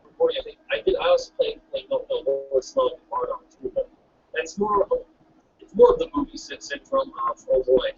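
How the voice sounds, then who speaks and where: A man's voice: very high-pitched, sing-song, brisk, gravelly. A man hosting a casual podcast chat over a call, laid-back and jokey, swearing casually. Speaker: podcast host. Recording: distant lo-fi call audio with faint hiss.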